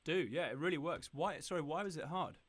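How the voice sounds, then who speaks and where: A man's voice: a little high, quick pace, crowd noise. A man hosting a radio interview, friendly and encouraging toward his guest.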